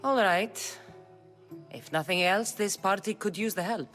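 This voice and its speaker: A woman's voice: mid-range voice, a little slow, fairly bright tone, faint music behind, lightly acted. An actress playing a tough female mercenary warrior in a game cutscene, blunt and dry in manner. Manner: dry, blunt, matter-of-fact. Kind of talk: video game cutscene acting.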